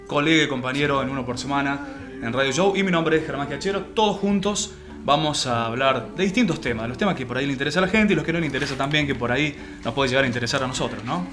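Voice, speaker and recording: A man's voice: mid-range voice, fast talker. A man with an Argentine accent presenting a TV talk show informally. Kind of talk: informal programme introduction. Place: small TV studio. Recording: loud music behind.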